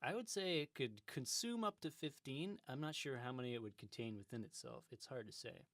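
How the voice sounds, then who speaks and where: A man's voice: medium pitch, lively intonation, fairly quick, a bit bright, dry recording, studio quality. A man improvising mock-serious, rambling pseudo-scientific banter.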